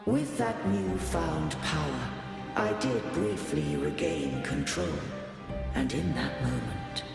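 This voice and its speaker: A man voicing an ancient, godlike being in a fantasy game, solemn and weary, with archaic, stately and measured speech. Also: fairly low pitch, creaky, heavily acted. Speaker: game character voice. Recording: cavernous echoing reverb with music behind.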